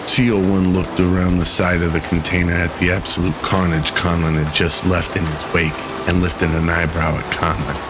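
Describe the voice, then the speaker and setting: A man narrating a scripted radio drama in a measured, solemn storytelling manner. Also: sits low, flat delivery, background noise.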